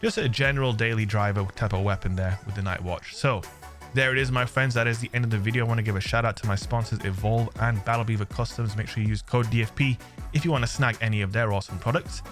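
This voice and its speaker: A man's voice: on the low side, fast talker, soft background music. A young English man, a little under the weather, giving chatty, slangy gameplay commentary to his viewers. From England. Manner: chatty, jokey, slangy, addresses viewers as friends. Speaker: gaming channel host.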